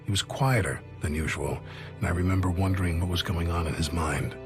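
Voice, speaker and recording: A man's voice: sits low, fairly quick. An actor playing a grave male soldier who narrates a war game's story in a solemn way, with music behind. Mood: somber. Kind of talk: game cutscene narration.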